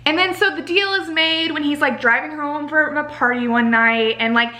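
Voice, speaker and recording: Young american girl ranting casually about a book, really high voice, says like constantly, echoey room. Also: moderate pace. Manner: animated exasperated ranting, heavy use of "like". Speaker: book reviewer. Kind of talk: casual chatty book review to camera. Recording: roomy home echo.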